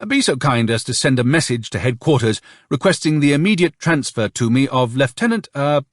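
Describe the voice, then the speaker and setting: Male narrator as army colonel, audiobook, honeyed insincere courtesy, emphatic, raised pitch, very animated. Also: no echo, clean studio sound, lightly acted. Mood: brisk command. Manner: honeyed, emphatic, drawn-out politeness.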